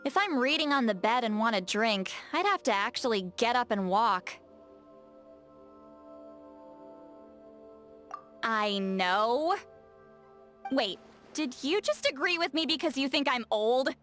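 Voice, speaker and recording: A woman voice acting a touchy, lazy, bookish young-seeming shaman in a video game, expressive and defensive, with hesitant asides and self-corrections. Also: fairly high pitch, moderate pace, faint music behind. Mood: laid-back, explanatory, then defensive, flustered. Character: touchy, lazy, bookish female shaman, youthful but secretly very old.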